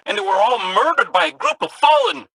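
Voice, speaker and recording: A man's voice: very high-pitched, huge pitch swings, a little fast, gravelly, noisy background, exaggerated voice. A man voicing a timid, earnest little robot companion in a video game, telling things in a dramatic, fretful way.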